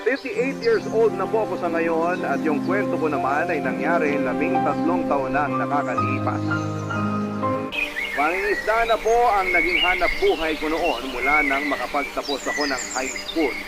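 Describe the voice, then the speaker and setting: A male radio host reads letters in a slightly high voice, with measured, sentimental storytelling delivery. Loud music plays under his narration. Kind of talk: dramatic scripted storytelling narration.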